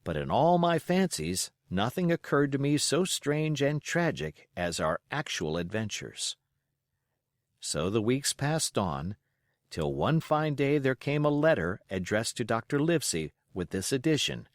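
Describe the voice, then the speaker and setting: A man narrating a storybook in a measured, expressive way, reading from a script. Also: medium pitch, no echo, studio quality. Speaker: audiobook narrator.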